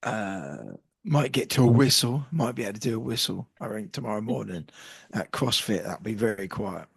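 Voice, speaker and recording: A man co-hosting a podcast, hoarse from a cold, talking in a jokey, self-deprecating way with plenty of restarts. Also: lowish voice, moderate pace. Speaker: podcast co-host. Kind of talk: casual banter.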